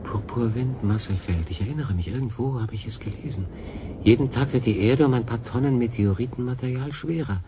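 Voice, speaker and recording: An actor playing a bewildered, stranded lone sailor in a radio play, halting and doubting his own sanity. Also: medium pitch, noisy background, close to an everyday voice. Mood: bewildered, curious. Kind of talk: German radio drama acting.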